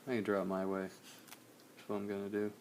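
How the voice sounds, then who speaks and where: A young man, slightly hoarse, chatting casually in a relaxed, rambling way while he streams a drawing session. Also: lowish voice, fast talker, slight background noise. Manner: relaxed, rambling, many fillers.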